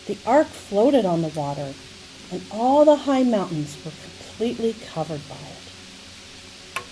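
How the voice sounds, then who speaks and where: Slow, expressive woman telling children's stories. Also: mid-range voice, a little hiss or hum, low-quality recording. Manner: simple explanatory phrasing, dramatic pauses and emphasis. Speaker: church children's storyteller. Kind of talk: Bible storytelling for young children.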